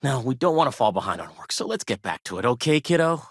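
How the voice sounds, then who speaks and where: A man's voice: mid-range voice, big pitch swings, fast, slightly rough, dry recording, studio quality. An actor playing a protective, wary traditionalist farmer and family man in a space colony game, stern and guarded with folksy politeness. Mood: firm, fatherly. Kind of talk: video game character dialogue.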